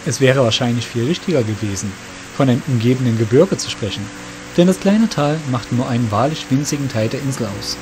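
A man's voice: medium pitch, lively intonation, a little fast, music in the background, rough recording. A man narrating a scripted fantasy audio story in a descriptive storytelling manner.

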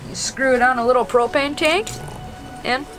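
A man's voice: extremely high pitch, moderate pace, fairly bright tone, soft background music. A young man narrating his fishing trip to viewers in a casual, upbeat way, filming himself with a handheld camera on a frozen lake. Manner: upbeat, addresses viewers as dudes. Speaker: fishing vlogger. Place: outdoors on frozen lake and inside ice shelter. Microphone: handheld vlog camera at arm's length.

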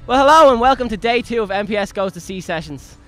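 A man's voice: high-pitched, lively intonation, quick pace, pushed and bright. A young Irish man reporting from a music festival outdoors, doing enthusiastic vox-pop interviews on a handheld mic. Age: young adult. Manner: enthusiastic, presenter-like.

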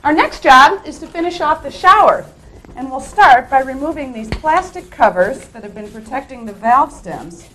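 Lively higher-voiced woman explaining installs. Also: normal speed, a little hiss or hum, rough recording. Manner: friendly, explains each step while working. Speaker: renovation crew member. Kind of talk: hands-on how-to instruction.

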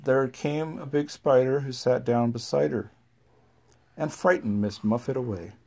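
A parent with a relaxed, sing-song way of reading nursery rhymes aloud and chatting with a small child at home. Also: medium pitch, a little slow, gravelly.

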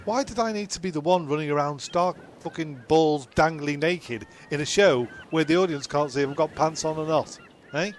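A man's voice: a little high, normal speed, slight background noise, close to an everyday voice. A man playing a grumpy, vain, foul-mouthed castaway ex-pilot in a comedy audio show, ranting and stumbling over lines. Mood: indignant. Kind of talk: comedy audio drama with ad-libs.

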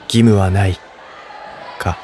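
An actor playing a serious, self-assured, studious teenage schoolboy in a voice drama, speaking tersely and directly. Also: male voice, low voice, steady tone, takes it slowly, a little mellow, a faint crowd, a little hiss or hum. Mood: grave. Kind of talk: anime-style voice drama acting.

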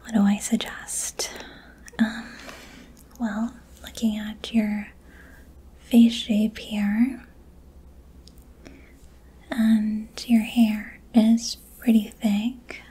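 A young woman whispering softly and gently asking questions as a barber in an ASMR haircut roleplay, recorded close to the mic in a small room. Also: medium pitch, little pitch movement, extremely slow, long pauses, breathy edge. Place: small decorated indoor room. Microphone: close studio mic in front.

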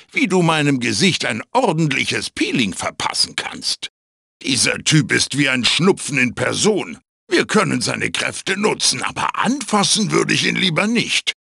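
A magical talking mask, the wise but fussy mentor of a cartoonish video game. The voice is bright and gravelly, swinging very widely in pitch. Every phrase is theatrical and emphatic, recorded close and dry. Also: male voice, no echo, full character voice. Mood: wry. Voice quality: raspy, gravelly. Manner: theatrical, emphatic, swooping delivery. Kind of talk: German video game voice acting, tutorial hints and quips.

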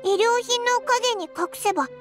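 A little floating fairy from an anime game, outspoken and loyal. The voice is extremely high, squeaky and childlike, with clear background music. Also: female voice, a little slow. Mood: reading aloud. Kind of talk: Japanese anime game voice acting.

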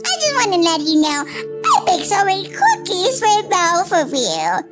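A woman voicing a sweet, doting, lonely old grandmother in an animated roleplay story, cooing and drawing out her exclamations. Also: very high-pitched, moderate pace, loud music behind the voice, full character voice. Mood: delighted.

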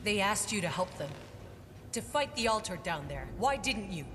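A woman's voice: mid-range voice, big pitch swings, normal speed, noisy background, lightly acted. A woman playing a tough, cynical young soldier in a video game, with a blunt, wry delivery. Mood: challenging. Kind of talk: naturalistic video game cutscene acting. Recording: long reverb, music behind.

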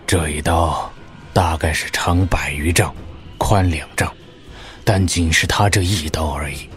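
A man playing a grave, loyal old martial master in an audio drama, solemn and measured. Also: sits low, fairly bright tone, soft background music.

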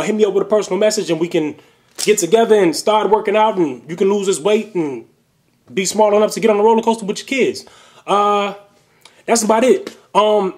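A man's voice: high-pitched, darkish tone. A young man giving casual fitness tips in a video response, rambling loosely with lots of fillers and restarts, recorded on a webcam in a small bedroom. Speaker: fitness vlogger. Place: small bedroom at home, indoors.

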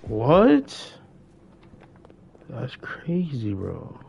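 A man's voice: mid-range voice, wildly swooping pitch, extremely slow, gravelly, darkish tone. Young man streaming over dull, noisy audio. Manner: slangy, repeats phrases. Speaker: live streamer. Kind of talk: casual gaming livestream chat.

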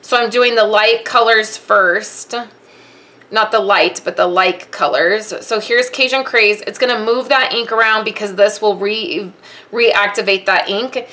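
A woman's voice: medium pitch, unhurried, bright, forceful tone. A woman giving a casual step-by-step card-making tutorial, chatting through each step as she works at her craft desk.

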